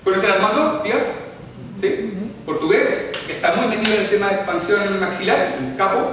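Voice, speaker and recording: Chilean man, higher-pitched voice, chatty explanatory lecturer, far from the mic. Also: moderate pace, background noise. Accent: Chilean Spanish. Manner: explanatory, checks in often with the audience, chatty asides. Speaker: orthodontics lecturer. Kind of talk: live classroom lecture with audience questions. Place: lecture hall. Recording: echoey hall recorded from far off.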